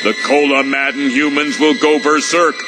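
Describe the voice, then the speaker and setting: A man playing a sinister, calculating space alien villain in a cartoon game, deliberate and menacing. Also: a little high, flat delivery, over music, natural voice. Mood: plotting. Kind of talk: animated game cutscene acting.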